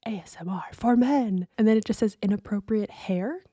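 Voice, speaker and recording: A woman's voice: mid-range voice, moderate pace, dark tone, no echo, studio quality. A woman co-hosting a podcast in casual comedic chat, playful and blunt, reacting with exclamations. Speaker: podcast co-host.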